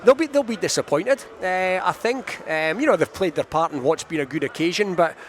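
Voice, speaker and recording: A male rugby pundit, giving live analysis in a halting way with repeated words. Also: sits high, lively intonation, a bit bright. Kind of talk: live post-match analysis.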